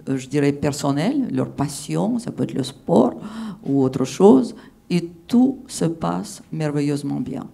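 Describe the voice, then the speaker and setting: A woman's voice: deep voice, gravelly, warm tone. A woman from Russia, speaking French as a panelist in an emphatic, persuasive way at a conference. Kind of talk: panel discussion answer.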